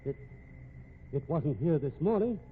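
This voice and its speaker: An actor playing an indignant, excitable office boss in a 1950s radio mystery, with emphatic, proper diction. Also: male voice, fairly high pitch, a little slow. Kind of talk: 1950s radio drama acting. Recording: muffled, noisy old radio recording.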